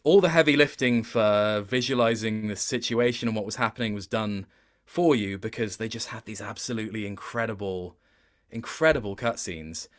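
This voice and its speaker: A man with a fairly low voice talks casually as a guest in an interview. He is a voice actor, speaking in an informal, conversational way. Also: moderate pace. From Wales.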